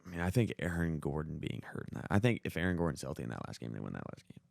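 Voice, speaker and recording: Fast-talking, very deep-voiced young American man. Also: big pitch swings, creaky, darkish tone, no room sound, studio quality. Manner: opinionated, emphatic, slangy. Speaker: podcast co-host. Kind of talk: casual sports chat.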